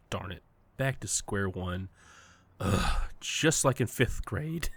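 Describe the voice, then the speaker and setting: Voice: low-pitched, expressive, very slow, breathy edge, dry recording, full character voice. An actor playing an uncannily cheerful entity that poses as a best friend, overly friendly, coy and needling, in an audio drama. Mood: mock hurt.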